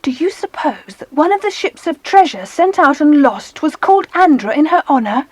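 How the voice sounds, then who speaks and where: An earnest little girl in a children's audiobook drama. Her voice is very high and breathless, in a dry recording. She is timid and excitable, with worry running through her words. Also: moderate pace, no echo. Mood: excited. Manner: breathless, anxious.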